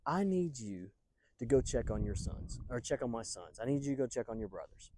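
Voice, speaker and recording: A man's voice: medium pitch, very animated, fairly quick, dark tone, a little hiss or hum, lightly acted. A man voicing a concerned father musing and giving repetitive instructions in a Bible story. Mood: instructing. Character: fretting father of soldiers, giving orders to son.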